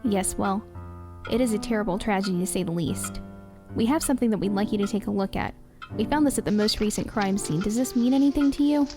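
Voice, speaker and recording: A bossy female police detective played by a woman in an audio drama, mid-pitched and curt. The manner is dry and authoritative, and music plays clearly behind the voice. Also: quick pace, natural voice. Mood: polite, official. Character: bossy, brisk, sharp-tongued female lead police detective.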